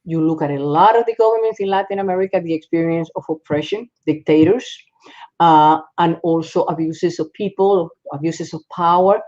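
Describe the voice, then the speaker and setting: A woman from the Republic, speaking as a psychologist guest in a panel discussion, halting, with many fillers and restarts. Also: fairly low pitch, darkish tone. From Dominican Republic. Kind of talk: panel discussion on a video call. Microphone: video call. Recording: dull lo-fi call audio.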